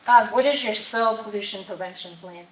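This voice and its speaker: A woman's voice: mid-range voice, a little fast. A woman at a public meeting asking pointed, persistent questions of a company representative. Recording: echoey meeting hall, faint noise.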